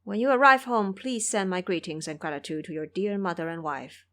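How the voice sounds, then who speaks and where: A woman voicing a gentle, courteous male Buddhist monk, polite and formal, in an audio drama. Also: on the low side, a little fast, natural voice. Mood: humble, grateful.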